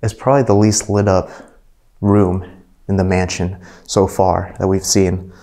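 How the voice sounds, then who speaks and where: Man with a flat low voice touring rooms. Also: unhurried. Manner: relaxed, easily impressed, filler-heavy. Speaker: urban explorer vlogger. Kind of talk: casual walkthrough narration. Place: indoors, abandoned mansion rooms.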